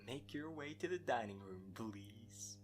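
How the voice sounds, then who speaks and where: Man playing a suave young schemer, animated and theatrical. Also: medium pitch, measured pace, soft background music, lightly acted. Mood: polite. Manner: theatrically polite. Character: courteous, scheming young male host with a plan. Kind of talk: amateur audio drama acting.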